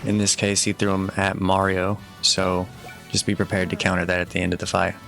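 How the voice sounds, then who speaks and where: A low-pitched man talks through a video game walkthrough in a casual, matter-of-fact way. His delivery is extremely monotone and low-key, with a gravelly creak in the voice. Game music plays faintly behind him. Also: brisk. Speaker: game guide commentator.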